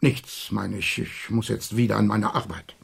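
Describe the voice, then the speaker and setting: A man's voice: lowish voice, normal speed, vocal fry, breathy edge, heavily acted. A man acting in a radio play as an old, stubborn, distrustful factory security veteran, guarded and terse. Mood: curt, menacing. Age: older.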